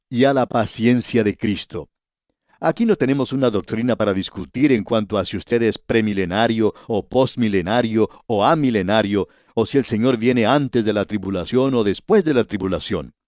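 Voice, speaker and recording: A man giving a radio Bible study in a measured, didactic way, addressing the listener directly as a teacher. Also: mid-range voice, a little mellow, no echo.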